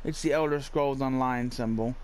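A man's voice: medium pitch, normal speed, darkish tone, clear, resonant tone. A man doing casual gameplay commentary, muttering short, sweary remarks to himself as he plays. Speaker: gamer, playthrough host. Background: game ambience.

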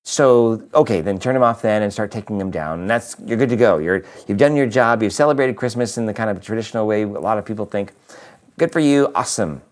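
A priest in his fifties speaks close to his camera in a home living room, his voice sitting a bit low and still slightly nasal from a lingering cold. He talks in a loose, upbeat, conversational way, with fillers and little restarts. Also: fairly quick. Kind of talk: weekly video reflection. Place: living room with a Christmas tree, indoors.

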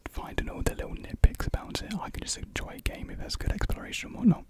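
A young man whispers softly and breathily in a casual, rambling ASMR chat, very close to a desk condenser microphone. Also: fast. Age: young adult. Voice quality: soft breathy unvoiced whisper. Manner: rambling, informal. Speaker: ASMR creator. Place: small indoor room with painted block walls. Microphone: large desk condenser mic close to the mouth.

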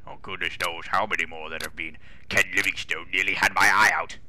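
A man's voice: sits low, fairly even intonation, a little fast, sharp tone, no echo. A man voicing a pompous, exasperated older railway boss with a British accent, grumbling indignantly in a comic dramatic reading.

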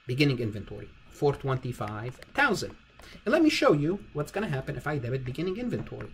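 A man's voice: a little high, a little hiss or hum. A man lecturing informally on accounting step by step, repeating phrases for emphasis. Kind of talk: informal step-by-step spreadsheet tutorial.

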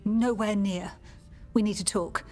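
A woman's voice: medium pitch, fairly even intonation, a little slow, darkish tone, soft background music, natural voice. An actress playing a frightened married woman caught up in an affair, talking urgently in a radio drama.

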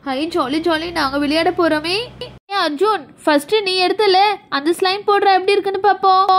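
Lady doing happy little kid girl, super high voice, chatty and full of exclamations. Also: steady tone, normal speed, a little hiss or hum. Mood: eager. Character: cheerful, eager little girl playing with friends. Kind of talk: children's toy play story.

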